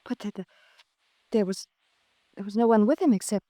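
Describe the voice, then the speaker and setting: A woman's voice: medium pitch, normal speed, mellow, no room sound, clean studio sound, close to an everyday voice. A woman acting an anxious widow in a 1950s radio drama, hesitant and pleading. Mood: shocked. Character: anxious, faltering widow under questioning. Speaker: supporting actress.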